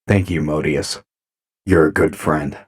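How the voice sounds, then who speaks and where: An actor playing a calm, courteous robot commander in an audio drama, measured in manner. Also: male voice, very deep voice, slightly rough, exaggerated voice. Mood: earnest, friendly.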